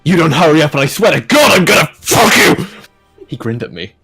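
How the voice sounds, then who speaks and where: A man's voice: fairly high pitch, big pitch swings, brisk, sharp tone, breathy edge, soft background music. A man doing a jokey read-aloud of fan fiction, dropping in casual asides as he goes. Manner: breaks into laughter and commentary while reading. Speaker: host of a fan fiction reading video.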